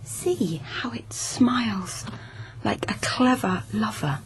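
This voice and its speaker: A woman's voice: medium pitch, takes it slowly, in a whisper, rough recording. An actress in a radio drama playing an unhinged, murderous royal lady who talks in an eerie, entranced way.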